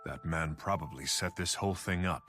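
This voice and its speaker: An actor voicing a dignified, guarded king in a video game cutscene, serious and measured. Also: rumbling low, bright, forceful tone. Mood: grave. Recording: music under the voice.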